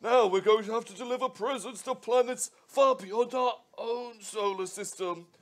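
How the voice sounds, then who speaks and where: A man voicing a jolly, pompous old Father Christmas in a live radio play reading. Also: normal speed. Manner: booming theatrical delivery with ho ho ho. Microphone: headset.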